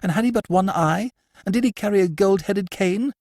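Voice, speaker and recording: A man's voice: high-pitched, fairly quick, darkish tone, dry recording, studio quality, voice pushed up. A man reading a story, voicing a veiled, agitated noblewoman with a piercing, urgent and imperious manner. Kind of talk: audiobook character voice.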